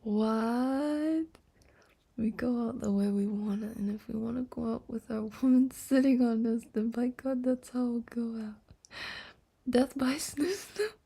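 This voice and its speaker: A woman live streamer chatting casually and playfully to viewers in a soft, breathy voice that often drops into a whisper. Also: fairly high pitch, a little slow. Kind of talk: sleepy casual livestream chat to viewers.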